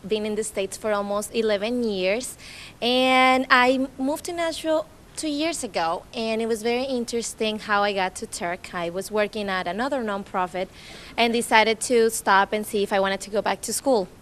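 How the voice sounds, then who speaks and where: A woman from Colombia with a Colombian Spanish accent speaks at a community event in an informal, storytelling way. She is in her thirties and talks in English as a second language, chatty and animated as she retells conversations. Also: medium pitch, moderate pace, slight background noise. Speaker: nonprofit staff speaker. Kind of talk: informal public talk.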